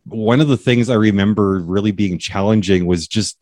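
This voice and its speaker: A man's voice: on the low side, normal speed, a little mellow, no echo, clean studio sound. A man hosting an interview podcast, asking curious, rambling questions with frequent restarts. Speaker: podcast host. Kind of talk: casual interview chat.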